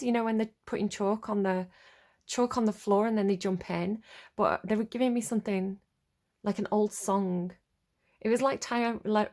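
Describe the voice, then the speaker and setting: A woman's voice: mid-range voice, creaky, a little mellow. A woman with a sniffly, stuffed-up voice giving a casual tarot reading, rambling and searching for words with many ums and likes. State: sniffly from a cold. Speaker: tarot reader.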